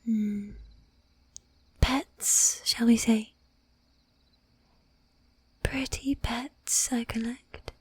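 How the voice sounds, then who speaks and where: Woman whispering as a breathy, flirtatious lady vampire. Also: fairly high pitch, fairly even intonation, heavily acted. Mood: silky, possessive. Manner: coaxing, affected old-fashioned formality, drawn-out teasing. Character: bossy, flirtatious, predatory lady vampire, sweetly menacing. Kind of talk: whispered ASMR roleplay. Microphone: close ASMR microphone.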